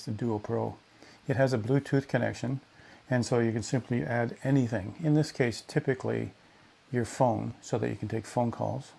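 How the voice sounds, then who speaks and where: Gravelly-edged man giving a casual demo. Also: mid-range voice, warm tone. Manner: chatty, explanatory. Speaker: product demonstrator, pilot. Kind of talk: casual hands-on demonstration. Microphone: headset and lapel mic. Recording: faint background noise, headset and lapel mics.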